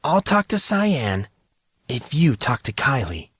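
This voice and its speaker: Male actor as troubled, wry high school freshman for audio drama, exasperated, swinging pitch, dry sound. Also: sing-song, slow, fairly bright tone, breathy edge, no echo, heavily acted. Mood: pressing. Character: troubled fourteen-year-old schoolboy, sarcastic, exasperated, reluctant.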